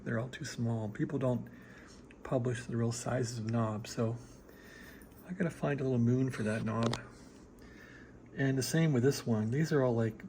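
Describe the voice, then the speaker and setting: A man giving a casual, rambling show-and-tell as a hobbyist, laid-back and halting as he thinks aloud. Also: medium pitch, vocal fry, slight background noise. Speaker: hobbyist showing a restored radio receiver.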